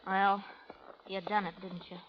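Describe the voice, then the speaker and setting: A woman's voice: mid-range voice, level tone, a little slow, natural voice. An actress playing a brash, lovelorn young frontier woman in an old radio drama, with folksy, unschooled Western speech. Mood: teasing, anxious. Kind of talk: 1930s radio drama acting. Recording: muffled, noisy old radio recording.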